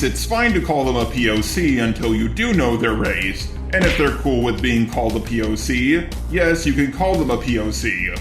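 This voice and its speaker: A synthetic male text-to-speech voice at a medium pitch reads scripted commentary. It sounds roomy, with music playing softly behind it.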